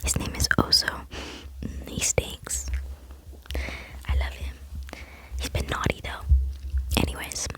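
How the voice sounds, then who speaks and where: A woman's voice: slow pace, long pauses, fairly bright tone. A woman in her late teens whispering an earnest, rambling ASMR chat into a close microphone. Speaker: ASMR vlogger.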